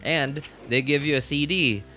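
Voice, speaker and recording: A man's voice: fairly high pitch, normal speed, sharp tone, faint music behind. A man chatting casually as a game streamer, rambling and thinking aloud to his viewers. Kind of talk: casual rambling livestream chat.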